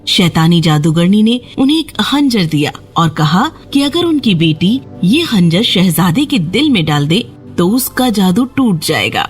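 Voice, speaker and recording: A woman's voice: on the low side, very animated, breathy edge, soft background music. A woman storyteller giving a scripted narration of a children's fairy tale.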